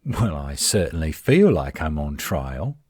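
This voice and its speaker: A man playing a defensive, flirtatious tsundere werewolf in a roleplay audio drama, indignant and sarcastic with a husky voice. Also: extremely low pitch, big pitch swings, measured pace, darkish tone, no room sound, heavily acted. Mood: resentful, sarcastic.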